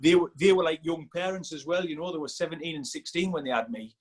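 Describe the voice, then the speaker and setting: A man's voice: on the high side, fast, slightly rough. Chatty Sunderland man in his forties. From Sunderland, England. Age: 40s. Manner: chatty and rambling, many restarts, laughs easily. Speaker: strength coach guest. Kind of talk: casual podcast interview.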